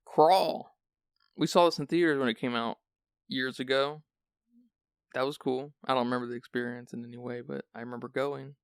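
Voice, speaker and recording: Gravelly, sleepy-sounding man hosting. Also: mid-range voice, steady tone, moderate pace, no room sound, studio quality. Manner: chatty, rambling with restarts. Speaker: podcast host. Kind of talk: casual film discussion.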